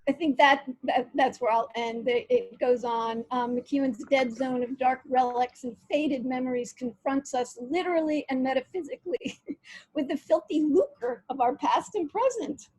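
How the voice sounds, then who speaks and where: A woman hosting a conversational interview over a video call, reading passages aloud and talking with frequent pauses and fillers. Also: high-pitched, darkish tone, no echo, poor audio. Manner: hesitant, reads quotes aloud, many fillers. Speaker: interview host.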